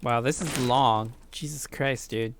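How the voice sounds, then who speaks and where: A man's voice: mid-range voice, steady tone, measured pace, slight background noise. A man giving casual, rambling let's play commentary with crude joking asides. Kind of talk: casual game commentary and read-aloud.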